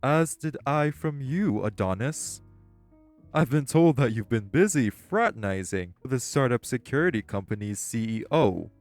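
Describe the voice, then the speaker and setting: A formal crime ringleader, played by a man in an audio drama, with a mid-pitched voice. He keeps his speech proper and measured and stern when scolding. Faint music sits underneath. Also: lively intonation, a little mellow, dry recording, natural voice. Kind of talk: audio roleplay acting.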